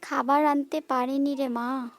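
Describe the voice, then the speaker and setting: A woman's voice: high-pitched, fairly even intonation, measured pace, no echo. A woman voicing a worried, helpless mother bird in a cartoon, anxious and motherly. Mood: weary worry. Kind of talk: Bengali moral cartoon dialogue.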